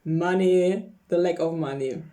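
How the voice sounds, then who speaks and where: A woman's voice: lowish voice, a little slow, dark tone, a little hiss or hum. A woman from Poland, chatting casually through a tarot card reading, laughing often and searching for English words. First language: Polish. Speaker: tarot reader.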